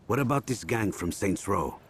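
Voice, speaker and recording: A male actor voices a stern underworld associate in a game. The voice is low, with wide inflection, coolly skeptical and disapproving, over a faint rumble. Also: animated, normal speed, close to an everyday voice. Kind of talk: video game cutscene acting.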